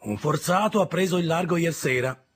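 A man voicing a gentle, plain-spoken workman explaining news to a boy. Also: on the high side, wildly swooping pitch, fairly quick, husky, voice pushed up, heavily acted.

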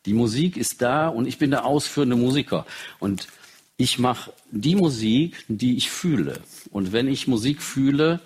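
A man with a husky voice speaks off the cuff in an interview, halting and searching for words, in blunt terms. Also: medium pitch, lively intonation, darkish tone. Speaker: interviewed musician. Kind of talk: spontaneous interview talk.

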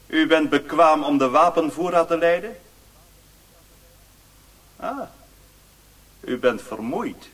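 A man playing a courteous, commanding Chinese lord in a radio play, speaking in a formal, measured, stately manner. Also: a little high, fairly bright tone, no echo, full character voice, poor audio. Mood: stately, probing. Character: courteous, commanding Chinese lord, curious about Western science. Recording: hissy old radio play recording.

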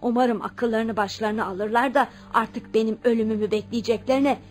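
An actress in a radio play playing a wealthy elderly aunt, stubborn, haughty and firm. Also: female voice, a little high, steady tone, normal speed, a little mellow, natural voice. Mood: stern, resolute. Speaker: radio drama actor. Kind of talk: Turkish radio theatre acting.

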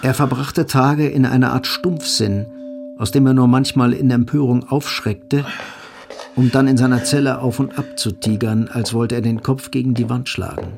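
A man's voice: mid-range voice, fairly even intonation, moderate pace, vocal fry. A man narrating a scripted German audio drama as its storyteller. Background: music.

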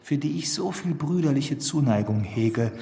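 Reverberant young gentleman's letter voice. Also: medium pitch, lively intonation, moderate pace, gravelly. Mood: earnest self-justification. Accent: standard German. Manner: eloquent, self-justifying, ornate. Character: young, charming, effusive gentleman reading out his own letter. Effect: reverb marking a letter voice. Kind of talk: period radio drama acting. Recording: long reverberant hall effect.

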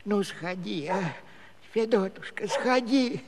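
A man's voice: sits high, slow, a loud crowd. An actor playing a comic, wily old village grandfather in a Soviet musical-comedy audio play, thin and quavering, muttering with many oohs and hesitations. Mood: wheedling. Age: older. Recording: long hall reverb with clear background noise.

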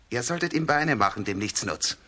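Sly, animated village troublemaker. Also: male voice, mid-range voice, a little hiss or hum. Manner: taunting, needling. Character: sly, goading red-haired village lad, mocking troublemaker. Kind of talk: German children's radio play acting.

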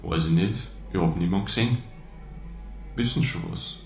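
An actor playing a taciturn, guarded farmer in a radio crime drama, speaking tersely and evasively with a Bavarian accent. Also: male voice, sits low, moderate pace, lightly acted. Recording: roomy radio drama scene, music underneath.

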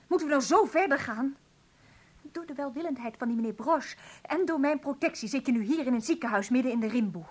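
A woman's voice: high-pitched, lively intonation, fairly quick. An actress playing a proud, insistent woman pressing her partner in a radio drama, with a challenging, sarcastic edge. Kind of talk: radio play acting.

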